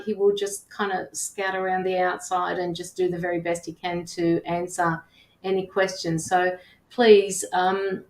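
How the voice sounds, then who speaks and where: A woman casually hosting a live online interview in an echoey room. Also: medium pitch. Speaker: host.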